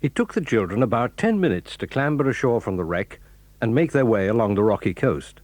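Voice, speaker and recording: A man narrating a children's audio drama in a measured, clear storytelling manner. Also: medium pitch, big pitch swings, a little mellow, a little hiss or hum.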